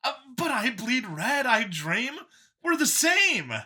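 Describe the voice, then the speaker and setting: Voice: medium pitch, big pitch swings, slow pace, sharp tone, breathy edge, pitched above the performer's own voice, heavily acted. A narrator voicing a smug, bigoted android copy of a man in an audiobook, with an eager, boastful, emphatic and sneering delivery. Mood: eager, chatty.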